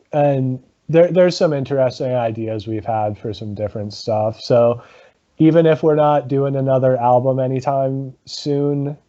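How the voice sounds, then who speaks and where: A man, a guest in a casual interview, talking about his comedy rock band with a low voice, lots of ums and laughs. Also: lo-fi sound. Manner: halting, many ums, laughs often.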